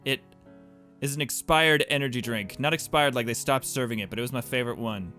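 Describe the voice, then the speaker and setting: A man's voice: medium pitch, brisk, sharp tone, soft background music. A man giving casual playthrough commentary as a game streamer, with playful, wry asides.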